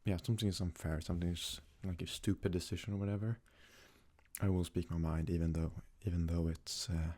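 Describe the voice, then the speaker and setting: Very low, husky young man doing ASMR. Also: little pitch movement. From Sweden. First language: Swedish. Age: young adult. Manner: hesitant, halting, soft-spoken. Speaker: ASMR creator. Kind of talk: casual ASMR Q&A, unscripted.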